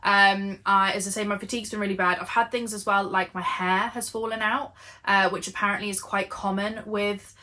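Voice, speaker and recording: Croaky British woman, candid and chatty. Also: medium pitch, fairly even intonation, normal speed, sharp tone. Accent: British English. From United Kingdom. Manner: candid, earnest, with frequent fillers. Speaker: vlogger. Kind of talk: chatty, honest life update while knitting.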